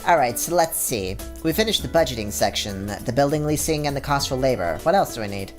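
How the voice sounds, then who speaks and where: A man reading a visual novel aloud and voicing a prim, studious teenage girl who speaks in a measured, disapproving way. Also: medium pitch, expressive, faint music behind, lightly acted. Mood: thoughtful.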